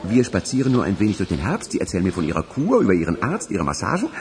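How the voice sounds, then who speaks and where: A pushy, nervous young fellow in a radio crime drama. He talks fast and eagerly, stammering, his pitch leaping about over music. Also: huge pitch swings, a little mellow, a little hiss or hum, exaggerated voice. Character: pushy, nervous young man making a dubious offer.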